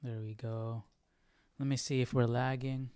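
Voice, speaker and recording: A man hosting a live Bible study in a casual, halting, conversational way, often checking in with listeners as he explains. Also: mid-range voice, level tone, a little mellow, clear, resonant tone, no room sound. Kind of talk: casual livestream Bible teaching.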